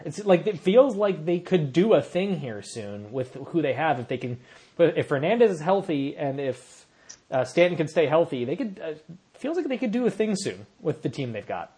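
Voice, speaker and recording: A man's voice: on the high side, moderate pace, husky. A man co-hosting a casual sports podcast, his voice a little worn, laughing easily and reading out listener questions. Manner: relaxed, laughs often, reads listener questions. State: losing his voice. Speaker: podcast co-host.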